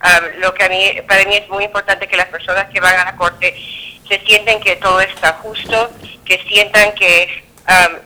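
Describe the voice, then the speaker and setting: Bright-voiced woman judge, halting. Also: mid-range voice, level tone, low-quality recording. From Peru. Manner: halting, many fillers and self-corrections. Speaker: judge being interviewed. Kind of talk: conversational interview answers.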